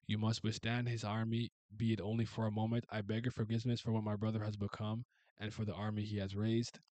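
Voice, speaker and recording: A man voicing proud, quarrelling male gods in archaic speech, slow and declaiming. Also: on the low side, level tone, warm tone, no room sound, close to an everyday voice. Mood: solemn. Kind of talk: reading a comic aloud in voices.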